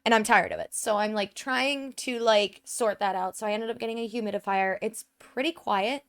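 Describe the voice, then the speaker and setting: A woman's voice: fairly high pitch, normal speed, a bit bright, clean tone. A woman giving casual gameplay commentary into a desk microphone at home, chatty and full of "um" and "like". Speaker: let's play gamer.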